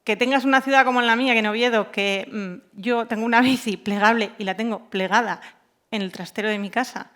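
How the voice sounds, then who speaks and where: A woman's voice: fairly high pitch, fairly even intonation, a little fast, a bit bright. A woman speaking informally on a panel, colloquial and anecdotal, repeating words for emphasis. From Asturias, Spain. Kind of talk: informal round-table talk.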